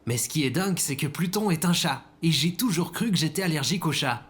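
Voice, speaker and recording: A man's voice: a little high, expressive, quick pace, a bit bright. Actor as an immature, hapless, hesitant man. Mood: nervous, upbeat. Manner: hesitant, stammering, naive. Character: immature, hapless man, defensive and self-absorbed. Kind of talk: audio fiction comedy-drama acting.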